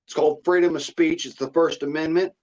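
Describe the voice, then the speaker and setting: A man's voice: on the high side, fairly even intonation, brisk, a little mellow, slight background noise. Folksy American man, dull lo-fi sound. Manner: blunt, folksy, profane. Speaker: livestream host. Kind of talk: rambling casual monologue. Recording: dull, lo-fi audio.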